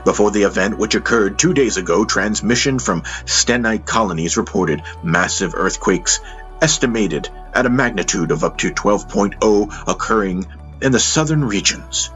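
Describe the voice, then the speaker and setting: A man reading dramatic scripted audiobook narration in a solemn, measured manner. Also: on the low side, expressive, fairly bright tone. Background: music under the voice.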